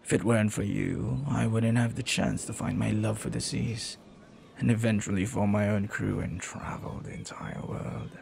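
A man playing a flirty, earnest pirate captain in an intimate audio roleplay, speaking softly and haltingly with tender devotion. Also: on the low side, fairly even intonation, gravelly, noisy background. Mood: earnest, grateful. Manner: soft, halting, tender, sometimes near whisper.

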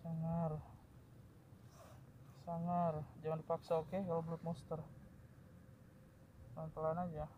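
Excitable high-voiced man outdoors. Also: slow, pauses often, muffled recording. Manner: excited, joking, addresses viewers. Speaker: eel fishing vlogger. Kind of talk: casual outdoor fishing commentary. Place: outdoors in grassy wetland by a ditch. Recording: outdoor field recording with loud ambient noise.

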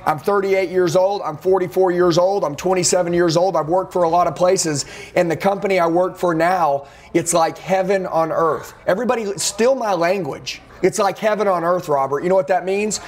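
A man's voice: high-pitched, moderate pace. A middle-aged man coaching salespeople, blunt and intense, acting out recruiting conversations as examples. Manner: intense, blunt, preachy with role-play. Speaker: sales trainer. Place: dark studio office with neon sign.

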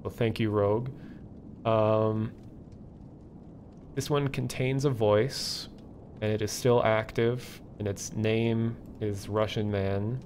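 A man hosting a livestream, explaining things casually and off the cuff in a halting, thinking-aloud way. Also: fairly low pitch, steady tone, a little mellow, a little hiss or hum. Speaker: livestream host. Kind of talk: casual live explainer, unscripted.

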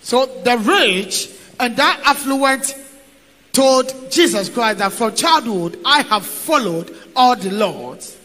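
A man interpreting a sermon line by line in a steady, declarative way. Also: extremely high pitch, slow. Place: large church hall, indoors. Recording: long hall reverb.

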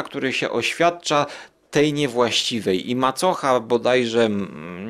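A male podcast host talks in a casual, rambling review style. He muses aloud and argues back and forth with himself, in a voice of middling pitch.

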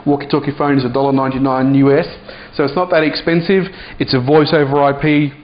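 A man's voice: a little high, little pitch movement, quick pace. A man presenting a casual, unscripted product demonstration as an app reviewer. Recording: dull lo-fi sound, clear background noise.

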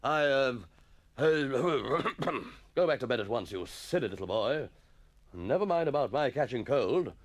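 A man's voice: mid-range voice, expressive, measured pace, natural voice. A man voicing a grumpy, blustering middle-aged schoolmaster with a stern, spluttering manner in a story reading. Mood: irritated, blustering.

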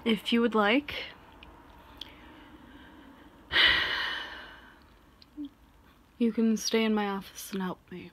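A woman playing a reserved office boss who is guarded but softening, speaking haltingly in an intimate ASMR roleplay. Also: mid-range voice, steady tone, lightly acted. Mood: hesitant, softening. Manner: halting, measured delivery with sighs.

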